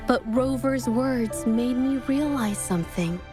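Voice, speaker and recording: A woman performs a shy young painter from a video game. Her voice is high and earnest, with a hesitant edge that shows her working up courage. Soft music plays behind her. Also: measured pace, close to an everyday voice. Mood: sad, reflective.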